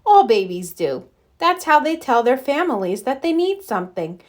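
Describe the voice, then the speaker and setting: A woman voicing a calm, kindly animal mother who explains and reassures in a children's storybook. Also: high-pitched, normal speed. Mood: reassuring.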